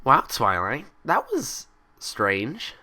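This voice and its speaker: A man's voice: mid-range voice, wildly swooping pitch, drawn-out words, pushed and bright, voice pushed up, exaggerated voice. A man voicing a hyper, cheerful, gullible young female cartoon pony in bubbly, rambling, childlike chatter.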